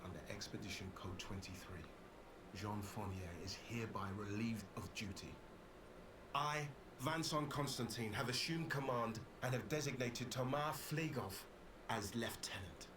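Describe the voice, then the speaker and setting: An actor playing a weary, dutiful, conflicted expedition officer recording a solemn journal in a video game. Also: male voice, mid-range voice, far from the mic, background noise, natural voice. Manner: grave, solemn, deliberate.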